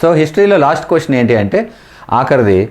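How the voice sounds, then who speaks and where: A man's voice: fairly high pitch, big pitch swings, quick pace, darkish tone. A man with a Telugu accent working through exam questions as a coaching teacher, explaining informally and repeating key terms.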